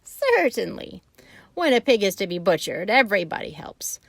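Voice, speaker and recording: A woman voicing a blunt, worldly old female sheep who delivers bad news loudly and matter-of-factly. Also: mid-range voice, big pitch swings, moderate pace, creaky, no room sound. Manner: blunt, matter-of-fact, loud. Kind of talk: storybook reading.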